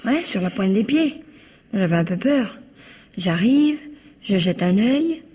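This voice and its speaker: A young working-class housemaid in a vintage radio mystery. Her voice is husky and slightly rough, at an ordinary female pitch. She talks in a colloquial, naively frank way, cheeky and quick to defend herself. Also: animated, dark tone. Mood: gossipy storytelling. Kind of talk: old French radio mystery acting.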